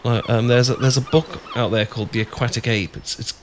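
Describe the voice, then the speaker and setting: A man's voice: mid-range voice, steady tone, fairly quick, lightly acted. A British man playing an adorkable, bashful young friend in an ASMR boyfriend roleplay, hesitant, stammering and rambling. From Britain. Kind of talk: ASMR boyfriend roleplay, improvised-sounding casual chat. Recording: clear background noise with ambience.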